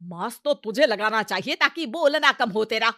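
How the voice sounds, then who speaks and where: A bright, forceful village mother-in-law, acted by a woman, high in pitch and recorded dry. She is bossy, stubborn and quick to temper. The delivery is loud, theatrical comic storytelling. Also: lively intonation, no room sound. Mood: scornful.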